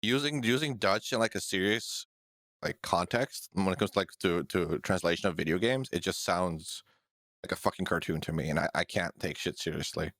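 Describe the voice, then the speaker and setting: Male podcast co-host with a very low, dry voice. Casual, crude and joking. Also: normal speed, a bit bright, no echo. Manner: profane, joking, laughs often. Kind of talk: casual chat.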